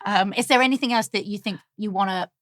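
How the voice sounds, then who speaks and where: A woman's voice: medium pitch, fast, a bit bright, dry recording, studio quality. A woman in her thirties, hosting a casual podcast interview in a friendly, encouraging way. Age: 30s. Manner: friendly, encouraging, many likes and yeahs. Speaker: podcast host.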